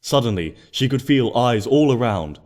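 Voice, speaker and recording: A man narrating a scripted story expressively, in a gentle storybook manner. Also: medium pitch, expressive, normal speed. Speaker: fan fiction narrator.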